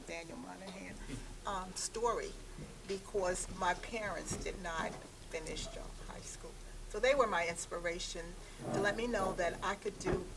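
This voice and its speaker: A woman in her sixties sharing personal stories as a panelist at a live event. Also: on the low side. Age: 60s. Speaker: panelist, game inventor. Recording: distant mic, clear background noise.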